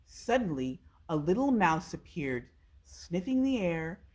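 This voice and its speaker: A woman's voice: on the low side, very animated, measured pace, darkish tone. A woman reading a children's storybook aloud as a storytime narrator. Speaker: storytime reader.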